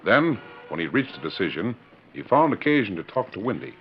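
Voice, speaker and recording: Old time radio announcer guy, american accent, lowish lively formal narration, muffled recording. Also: a little fast, soft background music. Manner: formal, polished storytelling delivery. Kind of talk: scripted dramatic narration, 1940s radio.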